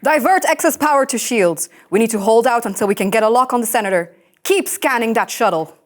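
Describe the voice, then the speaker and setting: Starship captain, female actor, fairly high voice, curt, commanding, no patience for argument. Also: animated, fairly quick, natural voice. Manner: curt, authoritative. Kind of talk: sci-fi comedy table read.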